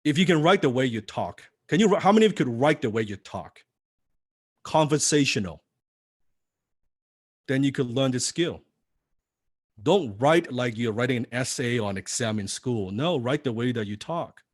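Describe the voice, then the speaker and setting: A man's voice: medium pitch, expressive, normal speed, long pauses, no room sound. A man teaching copywriting in a live seminar, non-native in English, firing rhetorical questions at the audience. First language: not English.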